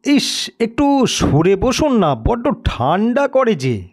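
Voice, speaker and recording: A man's voice: extremely high pitch, very animated, slow, slightly rough, pitched above the performer's own voice, full character voice. A man playing a frightened young nephew who calls out pleadingly in an audio horror story. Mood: uncomfortable. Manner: shouting, pleading. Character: frightened young nephew calling out to his uncle.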